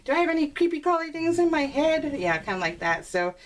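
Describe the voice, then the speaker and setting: A woman chatting casually and rambling as a craft video host, giggly and self-deprecating, telling stories with animated exclamations. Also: sits high, fast talker. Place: at home, voice recorded live while crafting. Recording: noisy home recording with clicks.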